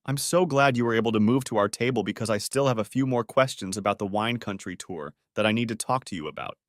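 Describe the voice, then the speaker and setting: A man's voice: on the low side, quick pace, dry recording, studio quality, natural voice. A man voicing a teasing, possessive wife in an audio story, in an arch, bantering manner. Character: teasing, possessive wife meeting her husband's friend.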